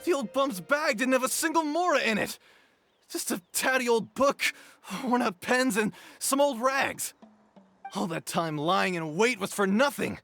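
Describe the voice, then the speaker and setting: An actor playing a brash, cowardly young bandit in a video game, whiny, cocky and theatrical. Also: male voice, very high-pitched, lively intonation, measured pace, creaky, a bit bright, soft background music, exaggerated voice. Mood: panicked. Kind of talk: video game character acting.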